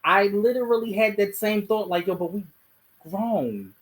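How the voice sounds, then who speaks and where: A man's voice: sits high, moderate pace. A man with a Baltimore accent hosting a podcast, talking in a casual, rambling way and often correcting himself. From Baltimore County. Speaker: podcast host.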